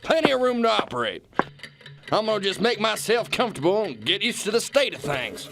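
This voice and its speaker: An actor in a radio play voicing a bumbling, self-important new boss with a folksy, halting manner. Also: male voice, high voice, lively intonation, gravelly. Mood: smug, pleased. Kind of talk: radio theater acting. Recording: noisy radio drama recording.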